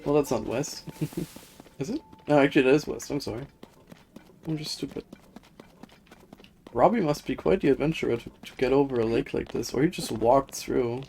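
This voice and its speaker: A man's voice: mid-range voice, normal speed, long pauses, darkish tone, a little hiss or hum. A man giving casual, rambling live commentary on a video game, thinking aloud in a self-deprecating way. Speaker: game streamer.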